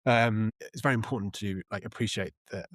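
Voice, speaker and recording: Guy being interviewed, low voice, dry close sound, thinks out loud and restarts, explains stuff. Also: no room sound. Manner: thoughtful, halting, explanatory. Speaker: interview guest, journalist and author. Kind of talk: conversational interview answers.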